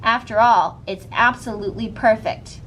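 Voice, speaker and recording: A woman's voice: on the high side, normal speed, a bit bright, slight background noise, natural voice, poor audio. A woman voicing a doting, reassuring storybook mother in an emphatic, soothing manner. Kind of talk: storybook read-aloud character voice.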